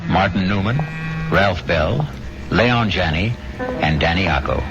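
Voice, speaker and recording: A man's voice: sits low, expressive, a little slow. A man narrating dramatically as host of a 1970s radio mystery series. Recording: dull vintage radio broadcast, music behind.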